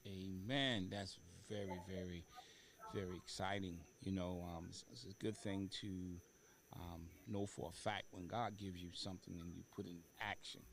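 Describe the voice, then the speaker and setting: Male talk show host, halting delivery. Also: low-pitched, steady tone, a bit bright. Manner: halting, repeats words for emphasis. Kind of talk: casual interview talk. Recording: faint background noise.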